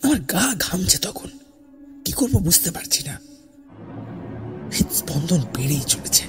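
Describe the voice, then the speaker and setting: A man narrating a first-person horror story in a theatrical, suspenseful way, with dramatic pauses and hushed phrase endings. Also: high voice, big pitch swings. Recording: voice over background music. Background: music.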